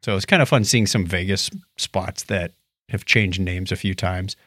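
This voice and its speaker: A man's voice: low voice, moderate pace, a bit bright, dry recording. Man casually telling stories, close dry sound. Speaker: podcast co-host. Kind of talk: casual chat, recounting stories.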